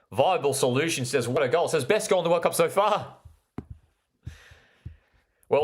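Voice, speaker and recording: A man with an Australian accent hosting a live football watchalong, commenting on play and chatting with viewers in an enthusiastic way that repeats phrases for emphasis. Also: mid-range voice. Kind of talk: live sports commentary with chat interaction.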